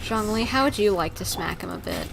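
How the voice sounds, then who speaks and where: A woman's voice: medium pitch, lively intonation, fairly quick, creaky, background noise. A woman livestreamer giving casual, conversational game commentary, reacting aloud to the characters.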